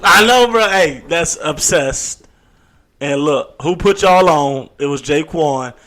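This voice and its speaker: A man's voice: on the high side, lively intonation, slow, fairly bright tone, faint music behind. A young man co-hosting a podcast, chatting casually with boastful, playful teasing. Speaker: podcast co-host. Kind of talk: casual chat.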